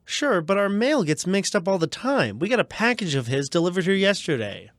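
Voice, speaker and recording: A male co-host in a scripted radio comedy bit, picking at details with dry quips. The voice is fairly high and very animated, in a dry studio. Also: a little fast, no echo.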